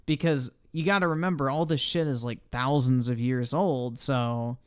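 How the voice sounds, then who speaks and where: A man's voice: mid-range voice, moderate pace, clean tone. A man livestreaming casual reaction commentary in a blunt, profane manner.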